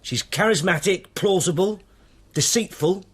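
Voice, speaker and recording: An actor playing a stern, driven British detective inspector in a radio drama, brusque and commanding. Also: male voice, sits high, a little slow, a bit bright, husky, full character voice. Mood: angry, rebuking. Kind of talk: naturalistic radio drama acting.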